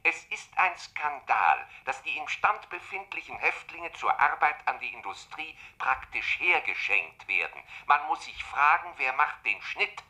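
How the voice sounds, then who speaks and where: Casting: SS bureaucrat, man, very sharp forceful tone, needling and officious, radio drama. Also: a little high, sing-song, unhurried, few pauses, full character voice. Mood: sardonic. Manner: pointed, interrogating, quoting with relish. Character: needling, officious male SS inspector, sardonic bureaucrat. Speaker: actor in radio play. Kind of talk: German radio play dialogue.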